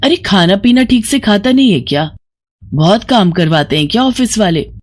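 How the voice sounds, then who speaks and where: A woman voicing a doting middle-aged village mother who scolds fondly and questions excitably in a moral story. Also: medium pitch, moderate pace, natural voice. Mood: fussing, scolding. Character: doting, fussing village mother, eager for son's wedding.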